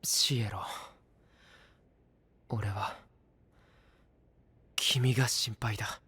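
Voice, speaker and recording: A hushed, husky, caring young knight. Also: male voice, on the low side, fairly even intonation, very slow, full character voice. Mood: concerned, gentle. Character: young male knight, quiet, caring, worried loyal friend. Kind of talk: Japanese anime-style voice drama.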